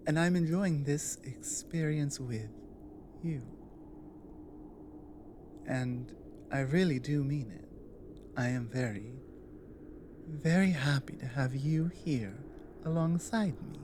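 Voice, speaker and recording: A woman acts out a loyal, guarded orc warrior woman in an intimate ASMR audio drama. Her speech is slow and deliberate, with halting, plain, earnest phrasing. A light wash of ambient noise sits behind the voice. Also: low voice, dark tone. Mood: earnest, tender.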